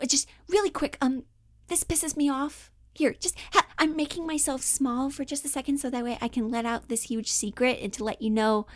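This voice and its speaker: A woman giving a casual, chatty acting lesson, slangy and animated, slipping in and out of character as she explains. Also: on the high side, no room sound. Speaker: acting teacher.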